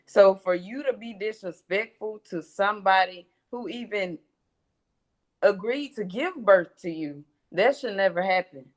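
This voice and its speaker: A woman's voice: mid-range voice, measured pace. A young woman, a daughter on a church panel, giving firm, earnest advice.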